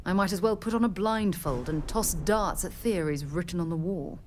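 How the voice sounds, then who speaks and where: A woman's voice: lowish voice, moderate pace, a little mellow, a little hiss or hum, close to an everyday voice. An actress playing an earnest, articulate woman scientist in a space adventure video game. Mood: thoughtful, uncertain. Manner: articulate, thoughtful. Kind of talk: video game dialogue acting. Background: game music.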